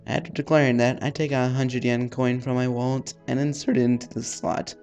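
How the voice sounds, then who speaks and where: A man's voice: mid-range voice, little pitch movement, fairly quick, darkish tone, soft background music. A man hosting a let's-play, reading a visual novel aloud with casual asides and the odd stumble over words.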